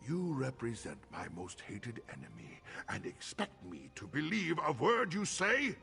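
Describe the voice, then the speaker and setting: An actor playing a proud, paranoid, angry Nordic king and bitter father in a fantasy video game, speaking with theatrical scorn and a gravelly voice. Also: mid-range voice, huge pitch swings, measured pace, pushed and bright, faint music behind, exaggerated voice. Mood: suspicious, commanding. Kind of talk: dramatic fantasy game acting.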